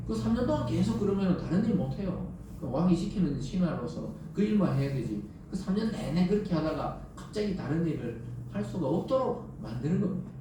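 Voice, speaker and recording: A man's voice: on the high side, mellow. A man with a Gyeongsang Korean accent preaching a conversational sermon as a pastor, explaining with rhetorical questions and everyday dialogue. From South Korea. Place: church sanctuary during Sunday service. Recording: echoey church, distant mic, faint noise.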